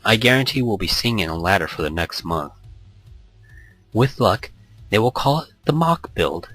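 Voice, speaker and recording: A man voicing a proper, encouraging woman gamer in a visual novel, with formal, measured wording. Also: on the low side, big pitch swings, fairly bright tone, no echo, soft background music. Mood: approving.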